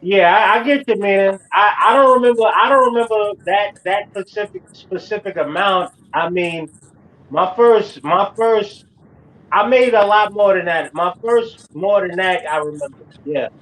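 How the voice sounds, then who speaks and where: A man in his forties with a notably high-pitched voice talks in an easy, conversational way. He repeats his phrases to hammer home a point, like someone preaching to a friend. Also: measured pace, a bit bright, rough recording. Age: 40s. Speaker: host. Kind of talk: casual chat.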